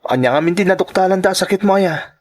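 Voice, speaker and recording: A man's voice: sits high, fast, natural voice. A man with an Ilocano Filipino accent playing a worried, caring friend in a radio drama, colloquial and exclamatory. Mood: worried, scolding. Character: animated male friend, worried, scolding and caring.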